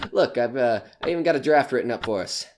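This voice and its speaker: A man's voice: medium pitch, animated, a little fast, slight background noise, natural voice. A man playing a desperate, nervous small-time comedian in an audio horror drama, stammering and pleading. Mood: nervously eager.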